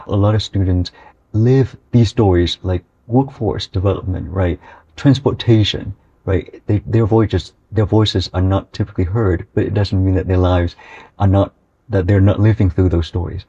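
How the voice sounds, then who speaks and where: A man's voice: low voice, warm tone, slightly rough. A young man from Vietnam who edits a student newspaper, answering radio interview questions earnestly and often adding "right?". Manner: earnest, with frequent "right?" tags. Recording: dull, lo-fi audio.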